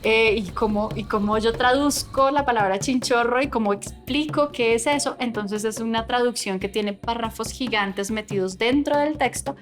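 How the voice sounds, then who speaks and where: A woman giving an interview as a literary expert, explaining things in a lively way and tossing in rhetorical questions. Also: fairly high pitch, normal speed, hardly any pauses.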